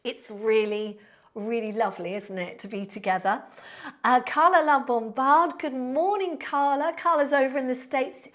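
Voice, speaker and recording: A woman's voice: sits high, normal speed, a bit bright. A woman of sixty, a Pilates instructor chatting on a live stream, thanking viewers by name in a friendly, rambling way with fillers. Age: 60s. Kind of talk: live-stream chat reading out viewer comments.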